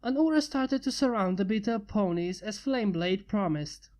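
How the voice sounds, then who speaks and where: A woman reading fan fiction aloud with steady, even narration. Her voice is mellow and warm, pitched in the middle. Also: normal speed. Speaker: fanfiction reader.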